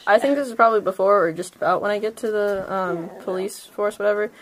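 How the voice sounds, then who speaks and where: Mid-pitched woman playing a tabletop game. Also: quick pace, poor audio. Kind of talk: casual in-character game chat.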